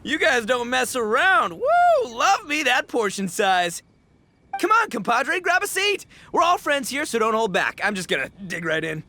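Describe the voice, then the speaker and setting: Brash young gang boss, wildly swooping pitch, bright forceful voice, goofy, slangy, video game acting. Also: male voice, wildly swooping pitch, moderate pace, exaggerated voice. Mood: excited. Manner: boisterous, slangy, theatrical.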